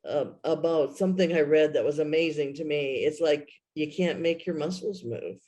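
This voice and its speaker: A woman's voice: low-pitched, moderate pace, warm tone. A woman speaking as a therapist in a conversational panel chat, with a candid, emphatic manner. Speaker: guest therapist. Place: remote video call.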